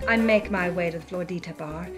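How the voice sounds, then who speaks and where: A woman's voice: lowish voice, fast talker, music in the background, natural voice. An actress playing a defiant, worldly woman on the run, telling her story in a vivid, hard-boiled first-person style. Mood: tense, wry. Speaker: actress narrating as a character. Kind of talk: dramatic first-person scripted narration.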